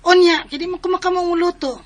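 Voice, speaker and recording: A woman's voice: extremely high pitch, fairly even intonation, brisk. An actress in a radio drama playing a playful, flippant young girlfriend. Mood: teasing, casual.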